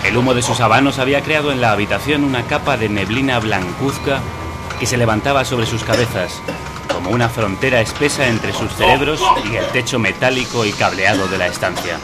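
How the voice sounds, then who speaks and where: A man performing scripted radio drama in the formal, deliberate, detached manner of an impassive announcer. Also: mid-range voice, lightly acted. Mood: solemn narration. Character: impassive male computer system and storyteller. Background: loud music.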